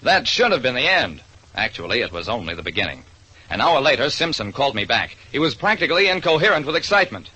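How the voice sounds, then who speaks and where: A man's voice: mid-range voice, very animated, fast, pushed and bright, husky, exaggerated voice. An actor playing a wry private detective who narrates his case in 1940s radio drama style. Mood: dramatic narration. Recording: noisy vintage radio recording.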